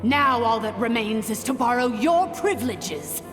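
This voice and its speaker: Arrogant dragon overlord, echoing and slow. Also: female voice, on the high side, lively intonation. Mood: contemptuous. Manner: haughty, grandiose, contemptuous. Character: arrogant, cruel, ancient female dragon overlord. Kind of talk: video game villain acting. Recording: long reverb, music behind.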